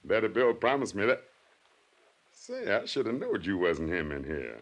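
An actor playing a slow-witted, eager drifter on the run in a 1950s radio drama, talking in folksy, ungrammatical phrases with hesitant chuckles. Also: male voice, fairly low pitch, sing-song.

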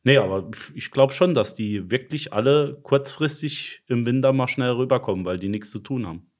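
A man's voice: mid-range voice, normal speed. A man co-hosting a podcast in casual, joking banter with his friends. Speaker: podcast co-host.

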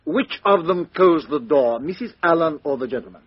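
A man's voice: fairly high pitch, animated, normal speed, husky. A man playing a fussy, precise foreign detective, in a dull-sounding old radio drama recording. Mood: probing. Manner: precise, hesitant, inquisitive. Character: fussy foreign male detective, Belgian, middle-aged. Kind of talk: 1950s radio drama acting.

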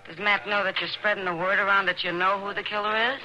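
A woman's voice: mid-range voice, level tone, fast talker, dull audio, natural voice. An actress playing a blunt, worried frontier saloon woman, scolding in 1950s radio drama style. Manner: anxious, scolding. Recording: noisy vintage radio broadcast.

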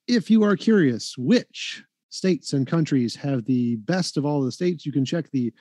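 A man arguing in a heated live debate, pressing his points insistently and talking over his opponent. His voice sits a bit high but has a mellow, dark color, and the sound is dry and close. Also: dry recording. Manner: insistent, rapid-fire, talks over others.

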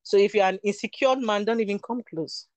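A woman's voice: medium pitch, brisk, a little mellow, no echo. A young woman from Cameroon, a beauty entrepreneur, talking candidly in an interview, emphatic and repeating her points. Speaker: guest, beauty product entrepreneur. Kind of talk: candid casual interview talk.

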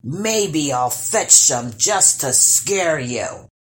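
A woman voicing a huge, boastful male troll with three heads, speaking in a slow, loud growl. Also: low voice, animated, full character voice. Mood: gruff boasting.

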